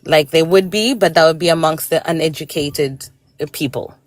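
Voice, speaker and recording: A woman from Malawi, a guest in a casual live-stream discussion, talking in a hesitant, halting way with many fillers and restarts. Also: low-pitched, no room sound.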